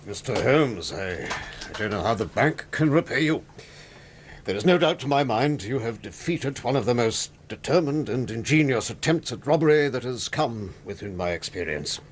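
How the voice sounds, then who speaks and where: Husky, formal banker. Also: male voice, medium pitch, lively intonation, moderate pace. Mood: grateful. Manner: formal, earnest. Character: grateful, formal male bank director. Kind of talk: British radio drama acting.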